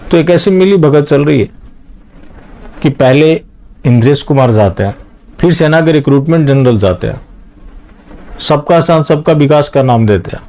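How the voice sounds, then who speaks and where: A man's voice: fairly high pitch, lively intonation, normal speed, pauses often, a little mellow. A man delivering opinionated political news commentary straight to viewers, often posing rhetorical questions.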